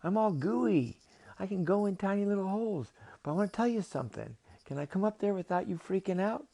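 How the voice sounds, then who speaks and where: A man's voice: sits high, lively intonation, a little fast, creaky, dark tone, pitched above the performer's own voice, exaggerated voice. A man voicing a brash, impatient talking octopus in a storybook tale, cajoling in casual, slangy, exasperated speech. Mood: insistent.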